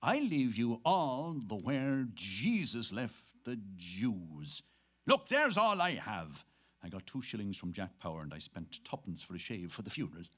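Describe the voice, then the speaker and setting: A man's voice: lowish voice, big pitch swings, measured pace, a bit bright, full character voice. An actor playing a wry, evasive middle-aged father in a dramatic stage reading. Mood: exasperated.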